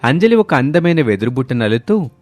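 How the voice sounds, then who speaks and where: A man's voice: mid-range voice, very animated, brisk, darkish tone, dry recording. A man narrating a scripted moral story as a storyteller. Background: music.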